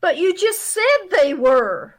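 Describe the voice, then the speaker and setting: An actress playing a suspicious, sharp-tongued wife in a radio comedy, scolding and wry. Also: female voice, very high-pitched, sing-song, slow pace, full character voice. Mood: exasperated, suspicious. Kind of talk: 1950s radio comedy acting.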